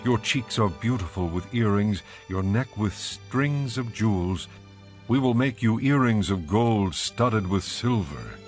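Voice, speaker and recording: An actor playing an ardent, adoring bridegroom, speaking tenderly and poetically in a dramatized scripture reading. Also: male voice, on the low side, expressive, faint music behind. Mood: admiring. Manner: tender, poetic, measured delivery.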